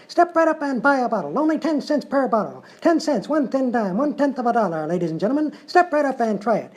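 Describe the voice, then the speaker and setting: A man playing a bombastic old-time medicine-show pitchman with repetitive barker patter in a live comedy show. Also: full character voice.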